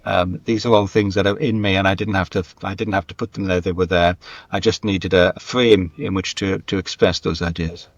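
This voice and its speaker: A man's voice: sits low, steady tone, a little fast, no echo, lo-fi sound. A middle-aged man speaking reflectively as an interview guest, thoughtful and emphatic, repeating phrases for stress. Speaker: guest, screenwriter and radio dramatist. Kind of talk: reflective interview conversation, career anecdotes.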